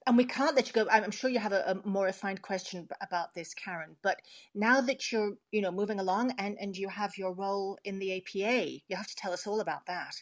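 Woman, medium pitch, podcast co-host, casual chat, agreeable, encouraging. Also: quick pace, hardly any pauses.

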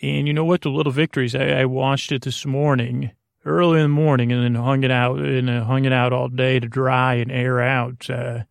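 A man hosting a sleep podcast in a creaky voice, with a meandering, halting, self-deprecating monologue that often trails off mid-sentence. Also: medium pitch, little pitch movement, dry recording, clean studio sound. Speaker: podcast host. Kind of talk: rambling bedtime monologue to help listeners fall asleep.

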